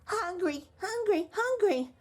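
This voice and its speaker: A woman's voice: extremely high pitch, lively intonation, slow pace, voice pushed up, heavily acted. A woman voicing a grown male giant who pretends to be a demanding baby in a children's storybook. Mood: babyish demanding. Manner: demanding, babyish. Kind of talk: read-aloud children's storybook voice.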